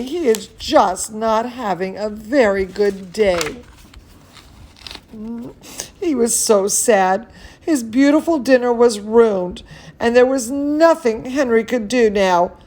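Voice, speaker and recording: A woman telling bedtime stories and chatting cozily to young children in an expressive, soothing, singsong manner. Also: on the high side, slow pace. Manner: chats playfully to a pet or companion. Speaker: dance studio teacher reading bedtime stories to children. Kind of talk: children's bedtime storytelling and cozy chat.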